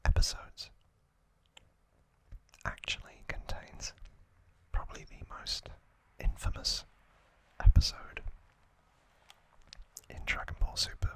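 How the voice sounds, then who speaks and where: A man hosting an ASMR video, whispering softly and deliberately into a close microphone. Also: low-quality recording. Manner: slow, deliberate, soft whispering. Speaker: ASMR video creator and host.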